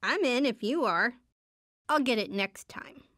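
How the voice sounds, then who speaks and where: A woman's voice: a little high, lively intonation, a little slow, a bit bright, no room sound, clean studio sound. A woman voicing a shy but upbeat teenage superhero girl in short video game lines, with casual quips and exclamations. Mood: subdued, awkward.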